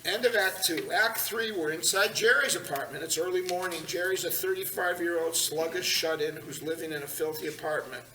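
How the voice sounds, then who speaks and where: A man's voice: sits high, fairly quick, hardly any pauses, bright, forceful tone. A man narrating scripted stage directions at a table read in a large meeting room. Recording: echoey room, distant mic, hiss.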